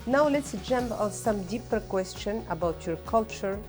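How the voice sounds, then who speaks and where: A woman's voice: medium pitch, expressive, moderate pace. Woman host asking simple questions. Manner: simple, friendly questions in learner English. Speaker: host interviewer. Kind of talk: scripted interview hosting. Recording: music clearly behind.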